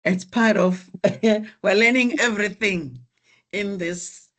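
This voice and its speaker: A course facilitator, giving slow, encouraging feedback to participants over a video call. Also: mid-range voice, a bit bright. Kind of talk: relaxed class feedback.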